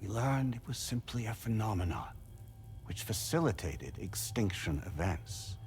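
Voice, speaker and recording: A low-voiced, grandiose artificial intelligence. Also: male voice, animated, darkish tone. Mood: measured lecturing. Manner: formal, oratorical, deliberate lecturing. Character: calm, grandiose non-human AI collective posing as a man. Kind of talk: video game cutscene monologue. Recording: music under the voice.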